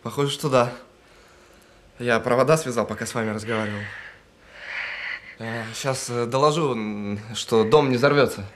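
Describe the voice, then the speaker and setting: Male actor as guilt-ridden bomb technician in a radio play: halting, sincere, apologetic, mid-range voice. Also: mid-range voice, slight background noise, natural voice. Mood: relieved, weary. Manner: halting, earnest, apologetic, with stammered restarts. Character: guilt-ridden male bomb defuser saying goodbye by phone. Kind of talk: audio drama acting.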